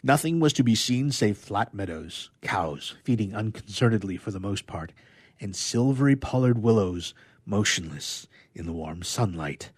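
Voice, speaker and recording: Male narrator for a literary excerpt, lower register, rough-grained tone, measured and expressive delivery. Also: dry recording. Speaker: radio player reading a literary excerpt. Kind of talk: dramatic audiobook-style reading of a novel excerpt.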